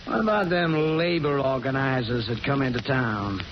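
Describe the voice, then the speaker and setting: A man's voice: mid-range voice, normal speed, close to an everyday voice. An actor playing a surly, suspicious small-town American garage man in a 1940s radio drama, terse and folksy. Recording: muffled, noisy 1940s radio recording.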